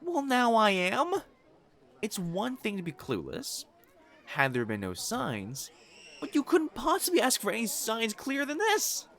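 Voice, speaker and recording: A man's voice: very high voice, huge pitch swings, measured pace, fairly bright tone, heavily acted. A man playing a proud, possessive noble fiancé in a romantic audio roleplay, haughty and formal in speech and easily flustered. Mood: exasperated, jealous.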